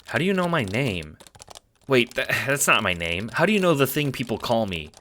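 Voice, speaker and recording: A man's voice: medium pitch, very animated, normal speed, bright, forceful tone, a little hiss or hum. A man playing an awkward, good-natured teenage boy in an audio drama, hesitant and casual with some stammering. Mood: flustered.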